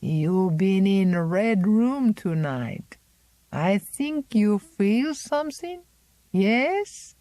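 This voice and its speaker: A grim, gnarled old servant woman, as a woman reader puts her on, chanting her warnings in a slow, ominous sing-song. She speaks broken English sprinkled with French words, in a raised, dragging voice. The recording is close and dry. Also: mid-range voice, very animated, warm tone, dry recording, voice pushed up.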